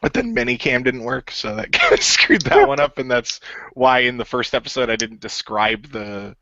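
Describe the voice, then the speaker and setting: A man's voice: mid-range voice, sing-song, moderate pace, sharp tone, dry recording. A man who created and ran a radio play, chatting casually and rambling with many fillers and restarts. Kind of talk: casual podcast chat. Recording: dull lo-fi dry sound.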